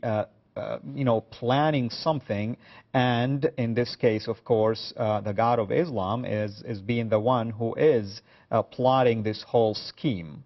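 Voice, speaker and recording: A male talk show host in a mid-pitched voice, often pausing on filler sounds as he works through his points. The recording sounds somewhat dull and lo-fi. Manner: hesitant, many "uh" fillers. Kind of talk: studio talk show discussion.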